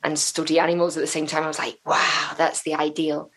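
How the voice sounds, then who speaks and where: A woman's voice: low voice, level tone, brisk, a bit bright. Chatty woman, rambling. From North Wales. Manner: chatty and rambling, with many fillers, restarts and laughs. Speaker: guest. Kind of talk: casual interview chat.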